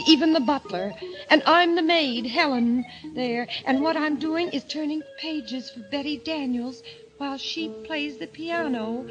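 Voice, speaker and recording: A woman playing a fluffy, timid wife who recounts a nightmare in an old-time radio mystery, naive and dreamy. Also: sits high. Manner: naive chatter, dreamy first-person narration. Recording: muffled vintage radio recording with music.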